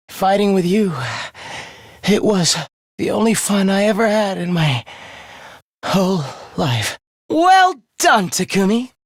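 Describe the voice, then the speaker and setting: Casting: sadistic young anime game villain, slow deliberate delivery, hugely varied pitch, theatrically contemptuous. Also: male voice, fairly bright tone, no room sound, studio quality, heavily acted. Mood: taunting. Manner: mock-polite, theatrical, swinging into shrill ranting. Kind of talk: anime-style video game voice acting.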